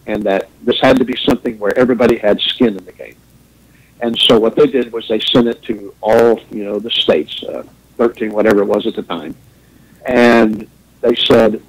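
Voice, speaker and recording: A man's voice: on the low side, husky, low-quality recording. A man who grew up in Japan, hosting a radio talk show, rambling through an opinionated monologue with wry chuckles.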